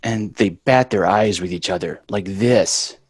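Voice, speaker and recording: A man's voice: low-pitched, a little slow, slightly rough, no echo. A man playing a bratty, exasperated young boy in a sitcom table read, brash and sarcastic. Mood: mocking, explaining.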